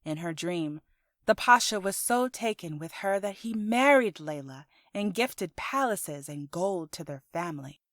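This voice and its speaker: A woman narrates a scripted story in audiobook style. Her pitch sits a little low for a woman. She is the storyteller, reading prose for a podcast. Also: animated, unhurried, a bit bright, no room sound, studio quality.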